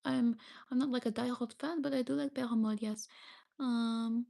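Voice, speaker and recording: A woman's voice: fairly high pitch, fairly even intonation, normal speed, creaky, warm tone. A young woman chatting casually on a live stream, reading viewer comments and thanking them often, with frequent hesitations. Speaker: livestreamer.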